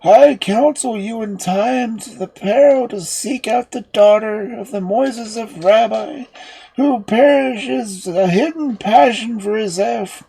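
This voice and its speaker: A storyteller voicing a dying old father, a solemn magician giving slow, halting, tearful counsel in a fairy tale. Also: mid-range voice, gravelly, mellow, husky, pitched above the performer's own voice, exaggerated voice, low-quality recording. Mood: frail, solemn.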